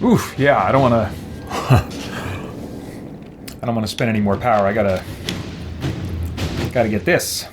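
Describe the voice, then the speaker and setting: A man's voice: lowish voice, unhurried, pauses often. A man giving casual playthrough commentary, muttering his reactions aloud to himself. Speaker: gaming roleplay host. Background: loud game music.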